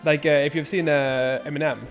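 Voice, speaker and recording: A man's voice: on the high side, little pitch movement, brisk, soft background music. A young man born in Norway, chatting casually and rambling on his live stream, swearing freely. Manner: rambling, laid-back, lots of filler and swearing.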